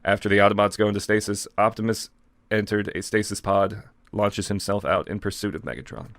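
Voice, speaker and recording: A man with a dry, deadpan manner, casually reading fan fiction aloud as a co-host. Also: lowish voice, fairly quick, dry recording. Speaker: co-host and reader.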